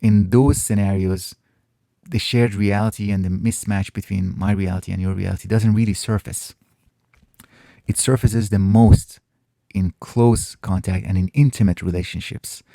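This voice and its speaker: A man whose first language is Farsi, hosting a casual Q&A and answering viewer questions in a thoughtful way, often pausing and restarting his phrases. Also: fairly low pitch, steady tone, gravelly, warm tone, no echo. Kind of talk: casual reflective Q&A monologue.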